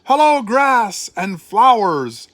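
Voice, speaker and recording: An older man voicing God as a joyful, loving creator in a storybook, with exclaimed, drawn-out greetings. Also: sits high, very animated, fairly bright tone, dry recording, voice pushed up, full character voice.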